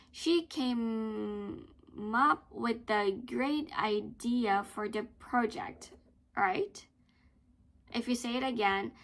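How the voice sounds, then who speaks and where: A young woman teaching English in a careful pronunciation lesson, drilling phrases slowly and repeating words with stress. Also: on the high side.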